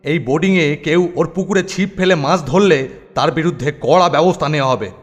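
A man's voice: on the high side, quick pace, lo-fi sound, lightly acted. A man voicing a strict, blustering boarding-school master in a comic cartoon, with an exaggerated voice. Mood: stern. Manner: stern, blustering. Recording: long hall reverb, faint music.

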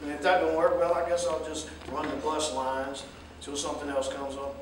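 A man's voice: a little high, flat delivery, moderate pace, natural voice. A man acting an older, fatherly American jitney driver in a staged play reading, with a folksy, emphatic, lecturing way of talking. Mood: matter-of-fact. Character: older railroad pensioner jitney driver, fatherly, plain-spoken adviser. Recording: distant mic, echoey hall, faint noise.

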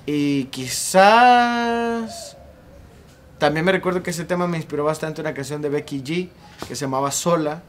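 A young man in his twenties with a Salvadoran accent, a singer telling his story at length in a relaxed interview on an outdoor patio. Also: a little high, a little slow, a little hiss or hum. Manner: earnest, talks at length with ease. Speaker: singer and guest. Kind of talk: casual interview.

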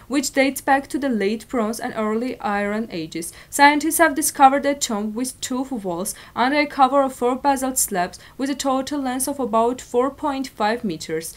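A young woman with an Armenian accent reading scripted news in a formal, stilted way. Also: high-pitched. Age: young adult. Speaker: news presenter.

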